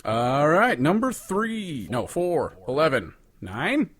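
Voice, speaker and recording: A man's voice: fairly high pitch, big pitch swings, slow pace, fairly bright tone. A man co-hosting a podcast, chatting casually about songs in a rambling, profane way. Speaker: podcast co-host reviewing songs. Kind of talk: casual chat.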